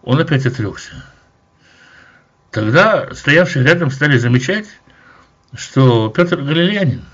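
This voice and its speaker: A man teaching verse by verse through a Bible chapter, in a measured, explanatory way with occasional hesitations. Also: a little high, lively intonation, a bit bright, husky, muffled recording.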